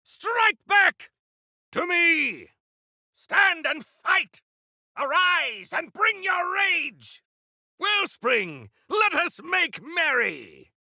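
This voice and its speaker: A creaky, wildly animated old warrior. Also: male voice, extremely high pitch, drawn-out words, dry recording, clean studio sound, full character voice. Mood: rallying. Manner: theatrical, grandiose, archaic turns of phrase. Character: defiant, feisty old male exiled clan warrior-engineer. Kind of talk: video game character acting.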